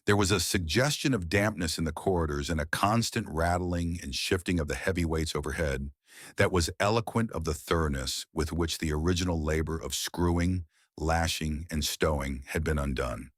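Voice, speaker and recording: A man narrating a vintage pulp horror story in a measured, literary storytelling manner. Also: very deep voice, no room sound, clean studio sound.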